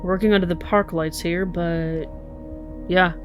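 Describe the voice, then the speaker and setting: Female police detective, played by an actress, low register, blunt, profane, sardonic, short-tempered, for a crime audio drama. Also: fairly even intonation, unhurried, soft background music, lightly acted. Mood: dry sardonic. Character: Kate, foul-mouthed, furious, sardonic female police detective.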